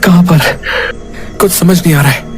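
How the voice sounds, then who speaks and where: A man's voice: high voice, fairly even intonation, moderate pace, lightly acted. A man playing an anxious, bewildered young village man in a cartoon story, with a worried, questioning delivery. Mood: anxious, desperate. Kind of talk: cartoon story dialogue acting. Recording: prominent background music. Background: music.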